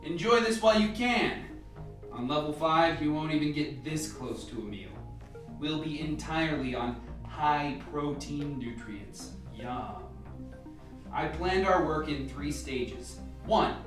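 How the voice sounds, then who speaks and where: An actor playing an authoritative, methodical scientist leading a team in a radio play, lecturing with dry humour. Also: male voice, on the high side, distant mic, close to an everyday voice. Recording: echoey room, off mic, music behind.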